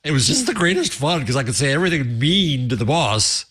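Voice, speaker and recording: A man co-hosting a casual tech talk show, earnest and halting, often restarting his sentences. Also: mid-range voice, sing-song, a bit bright, slightly rough. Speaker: podcast co-host.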